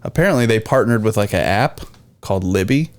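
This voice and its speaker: A man's voice: on the low side, expressive, brisk. A man with an American accent in casual, informal podcast banter as a co-host. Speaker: podcast co-host, IT and cybersecurity worker.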